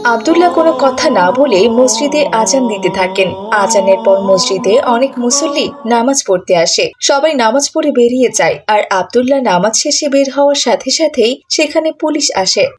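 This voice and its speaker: A woman's voice: fairly high pitch, expressive, moderate pace, few pauses, faint music behind. A woman narrating a scripted cartoon story.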